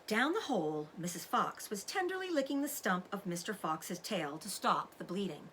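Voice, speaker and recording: A woman's voice: mid-range voice, normal speed, slight background noise. A woman reading a children's story aloud expressively, like a teacher explaining things to young listeners. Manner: animated, explains words to children. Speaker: teacher-like read-aloud host.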